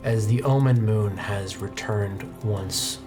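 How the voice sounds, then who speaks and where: A man's voice: fairly low pitch, slow. Man narrating a tabletop game over music. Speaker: game master.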